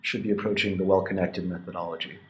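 Young man interviewing, lowish voice. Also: flat delivery, fast talker, a little mellow, rough recording. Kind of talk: prepared interview questions. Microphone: video call, computer at desk distance. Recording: faint ambient room noise.

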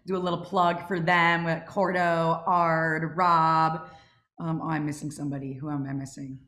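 Young woman, lower-pitched, casual moderator, roomy video-call sound. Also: level tone, normal speed, fairly bright tone. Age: young adult. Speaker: Q&A moderator from a student audio drama club. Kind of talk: casual Q&A hosting. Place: indoors at home on a group video call. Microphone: video call microphone. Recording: echoey room over video call.

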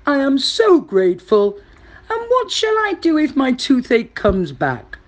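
Lady doing a big bossy croc guy from a kids storybook, loud, husky, swoopy. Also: high-pitched, huge pitch swings, unhurried, voice pushed up, full character voice. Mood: grateful. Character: big selfish male crocodile, bossy, later grateful.

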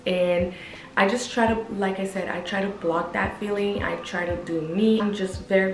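Brief: young woman vlogger, chatty and upbeat, recorded in an echoey bedroom with faint background music. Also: mid-range voice. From Guatemala. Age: 20s. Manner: chatty, upbeat, lots of "like" and "you know". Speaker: YouTuber. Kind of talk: casual Q&A vlog. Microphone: camera at medium distance.

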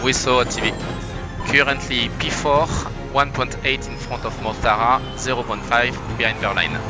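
Man, race engineer, medium pitch, giving instructions, team radio, music behind. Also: steady tone, moderate pace. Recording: race radio over music and car noise.

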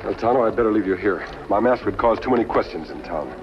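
Actor as brisk, steady masked western hero. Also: male voice, fairly low pitch, close to an everyday voice. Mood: steady resolve. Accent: American. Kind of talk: 1950s TV western acting. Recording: dull old TV soundtrack under music.

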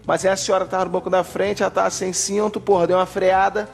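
A man's voice: high-pitched, flat delivery, fast, gravelly. An actor playing a crude, careless male van driver in a comedy sketch. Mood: blasé. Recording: music underneath.

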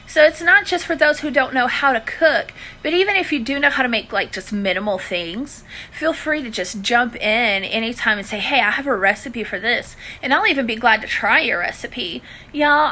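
Bright-voiced chatty Southern US woman. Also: fairly high pitch. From Louisiana, southern US. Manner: chatty, informal, addressing viewers by endearments. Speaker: cooking and budgeting live-stream host. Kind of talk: casual chat to live viewers. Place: indoors in a living room. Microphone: phone at arm's length.

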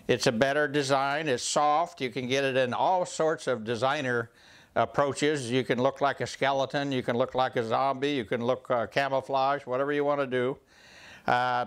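An older man hosting a woodworking channel, giving a casual, folksy overview of safety masks in his home shop, with frequent "uh" pauses. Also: medium pitch, fairly bright tone, clean tone.

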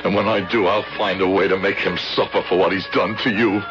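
An actor plays a protective, vengeful elderly father in an old radio play. His low voice is formal and grave. The recording is dull, with music behind it. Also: steady tone, brisk, close to an everyday voice. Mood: urgent, grim. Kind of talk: 1970s radio drama acting.

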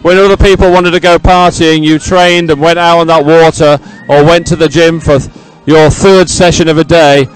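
A man's voice: a little high, level tone, normal speed, hardly any pauses, smooth, faint music behind, low-quality recording. A man giving live, ad-libbed sports commentary in a chatty, rambling, enthusiastic way.

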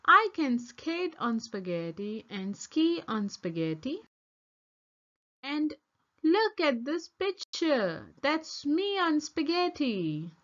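A woman voicing a stubborn, excitable female monkey from a children's storybook, with emphatic, sing-song repetition. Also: high voice, slow, lo-fi sound, full character voice. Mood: gleeful, boastful. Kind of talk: children's storybook read-aloud.

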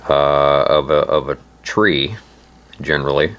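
A man with a hoarse, laryngitis-affected voice giving an informal instructional narration, halting and pausing often as he explains. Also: very deep voice, fairly bright tone. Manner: halting, hesitant delivery with frequent pauses. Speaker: host of a survival channel.

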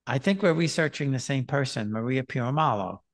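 Older male host, unhurried and hesitant, speaking through a headset on a video call. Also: medium pitch, animated. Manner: rambling, hesitant with many "um" and "uh". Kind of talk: casual conversation.

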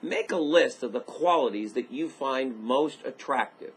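A man's voice: mid-range voice, measured pace, husky. A man in his fifties hosting a daily motivational talk, speaking straight to camera in an upbeat coaching manner and repeating his key points. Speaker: motivational host. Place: indoors by large window, high-rise view.

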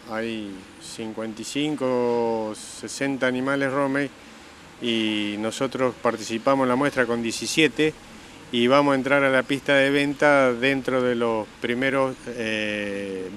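A middle-aged man with an Argentine accent answers interview questions spontaneously. His pitch is average, and a handheld microphone catches a little ambient noise from the show. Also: a bit bright. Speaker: sheep breeder interviewee.

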